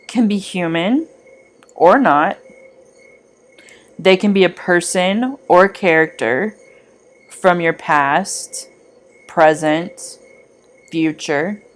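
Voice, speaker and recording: A woman reading a scripted guided meditation in a measured, gently instructing manner. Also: on the low side.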